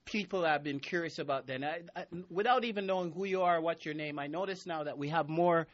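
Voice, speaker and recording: A man's voice: fairly high pitch, brisk, lo-fi sound. A man hosting a casual radio interview, teasing and playful, laughing often. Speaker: radio host.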